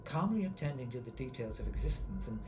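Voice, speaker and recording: A man's voice: mid-range voice, sing-song, quick pace, music in the background. A man reading a first-person expedition account as scripted narration.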